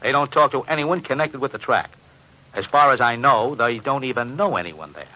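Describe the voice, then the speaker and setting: A man's voice: mid-range voice, lively intonation, fairly quick, pushed and bright, low-quality recording. An American man acting a worried, plain-spoken bookmaker in a 1940s radio drama. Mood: frustrated. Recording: vintage radio recording, faint noise.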